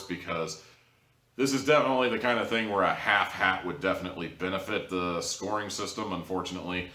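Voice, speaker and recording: A man's voice: deep voice, sharp tone. A man giving a casual, opinionated review to camera in a wry, joking, sarcastic manner. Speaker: audio drama reviewer, video host. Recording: roomy echo, distant from mic.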